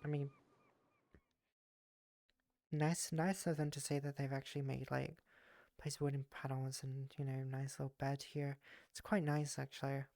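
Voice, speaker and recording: A man's voice: mid-range voice, flat delivery, moderate pace, gravelly, pauses often, mellow, no room sound, studio quality. A man streaming a casual game session, rambling and thanking viewers, sounding stuffy from a cold. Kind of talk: casual streaming chat while exploring a game world.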